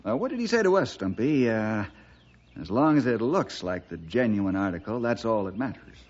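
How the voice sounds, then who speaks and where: An actor playing a calm, level-headed western lawman hero, speaking in a measured, probing way in a radio drama. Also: lowish voice. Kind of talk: old-time radio western acting. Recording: dull vintage radio recording.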